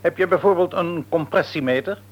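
An actor playing a reasonable middle-aged father in a radio play, gently probing with mild irony. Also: on the high side, brisk, muffled recording, close to an everyday voice. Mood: probing, ironic. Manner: questioning, mildly ironic. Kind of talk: Dutch radio play acting.

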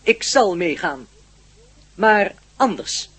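Husky, very high-pitched alien being. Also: male voice, animated, fairly bright tone, exaggerated voice. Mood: calm, explanatory. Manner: formal, measured, matter-of-fact. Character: polite, candid alien being observing humankind. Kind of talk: radio play acting.

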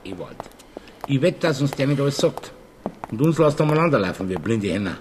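An actor playing a dry, stubborn and suspicious local Bavarian detective in a radio drama. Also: male voice, medium pitch, very animated, normal speed, a little mellow, slight background noise, natural voice. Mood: sullen. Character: sceptical, needling male local police detective.

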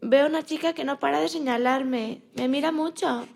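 A woman's voice: high-pitched, moderate pace, creaky. A woman playing a frightened, innocent little female bunny in a children's radio play, with a childlike, naive way of telling her story. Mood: worried, innocent.

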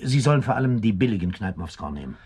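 Deep, commanding police captain. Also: male voice, sing-song, racing, a little mellow, husky, heavily acted. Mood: brisk, commanding. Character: brisk, commanding male New York police captain. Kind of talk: radio drama acting.

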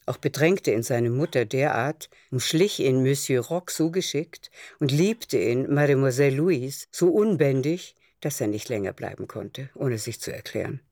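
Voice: medium pitch, expressive, moderate pace, hardly any pauses, a little mellow, no echo, studio quality. A narrator reading scripted literary prose in a radio drama. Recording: music underneath. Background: music.

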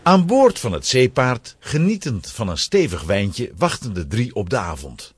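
A man's voice: medium pitch, very animated, measured pace, slightly rough. A man narrating a scripted children's story with dramatic suspense.

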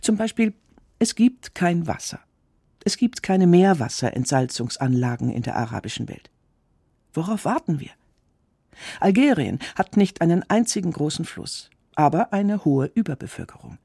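A troubled, reflective writer in a radio monologue. His voice sits slightly high for a man, yet it is mellow and full of gravelly creak. He sets out short statements with measured, sober emphasis. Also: big pitch swings, no echo, clean studio sound, heavily acted. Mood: grave, argumentative. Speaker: actor reading a writer's reflections. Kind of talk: spoken essay monologue in a radio production.

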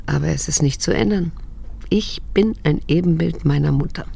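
An actress playing a wounded, restrained middle-aged daughter who narrates in a radio drama, measured and introspective. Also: female voice, deep voice, creaky, dark tone, slightly rough, full character voice. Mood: remorseful.

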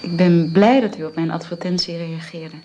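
Woman acting young nanny, modest and earnest, lowish voice, careful demure phrasing, old radio play, faint hiss. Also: steady tone, moderate pace, mellow, lo-fi sound, natural voice. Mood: candid, subdued. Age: young adult. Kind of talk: Dutch radio drama acting.

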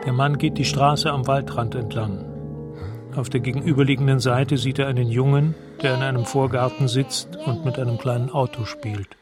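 A man's voice: mid-range voice, fairly even intonation, moderate pace, loud music behind the voice. A man narrating a radio drama in a detached, precise, scene-setting way.

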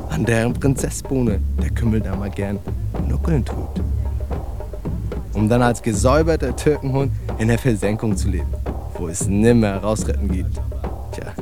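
An actor playing a scornful, slangy street talker in a literary radio monologue. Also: male voice, medium pitch, lightly acted. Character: contemptuous slangy male street talker railing against outcasts. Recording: music under the voice. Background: music.

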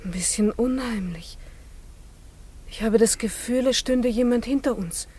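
An actress playing an uneasy, wondering wife in a radio drama, with an apprehensive manner. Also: female voice, a little high, unhurried, dark tone, husky. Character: uneasy, wondering wife sensing something uncanny.

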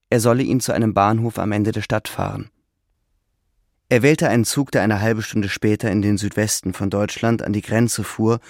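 Radio drama narrator: male, lower-pitched, literary scripted delivery, close dry studio sound. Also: no room sound.

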